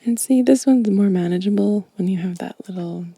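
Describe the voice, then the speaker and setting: A woman speaking in a soft, breathy whisper, gently and intimately, as in an ASMR show and tell close to the microphone. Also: mid-range voice, a little fast, no room sound. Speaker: host of an ASMR channel.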